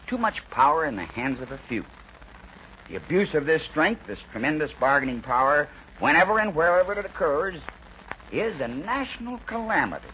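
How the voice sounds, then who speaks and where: A man with an American accent delivers a scripted 1940s radio editorial in an oratorical, emphatic way, with deliberate rhetorical pauses. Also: on the high side. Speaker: radio news commentator. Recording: dull, noisy 1940s radio recording.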